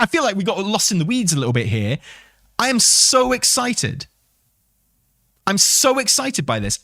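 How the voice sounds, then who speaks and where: Male gaming streamer, slightly high voice, very lively intonation, rambling, sweary, enthusiastic casual delivery. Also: no echo, studio quality. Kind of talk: casual livestream chat and commentary on game news.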